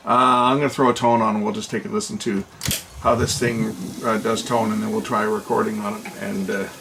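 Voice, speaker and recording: A man's voice: fairly low pitch, level tone, brisk, noisy background. A man giving casual, hands-on commentary as a repair technician, informally muttering to himself as he works. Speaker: repair technician presenting his own video. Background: music playback, guitar.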